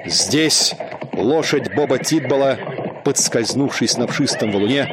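A man narrating a radio play in a measured, dramatic storytelling manner. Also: medium pitch, background noise.

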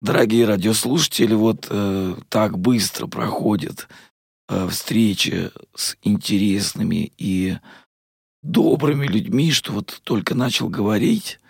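A man hosting a radio interview, hoarse today, talking warmly and with many pauses and fillers. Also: on the low side, no echo, clean studio sound. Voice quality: husky, gravelly. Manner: warm-hearted, rambling, many fillers. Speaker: radio host. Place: radio studio.

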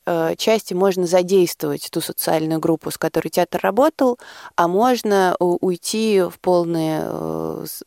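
A woman guest in a radio studio, explaining a theatre project haltingly and correcting herself along the way. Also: on the low side, vocal fry, darkish tone, no echo. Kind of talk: explanatory interview talk.

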